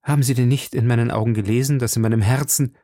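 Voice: medium pitch, steady tone, fast, dark tone, no echo, clean studio sound, lightly acted. A narrator playing an ardent, insistent gallant in his late teens, speaking eagerly and formally in an audiobook. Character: ardent, insistent young gallant man, not yet twenty. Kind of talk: audiobook character dialogue.

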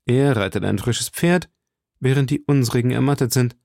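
A man voicing a sober, reasoning gentleman musketeer in an audiobook. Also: medium pitch, moderate pace, vocal fry, dry recording, studio quality, lightly acted. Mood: cautioning. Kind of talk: audiobook character voice.

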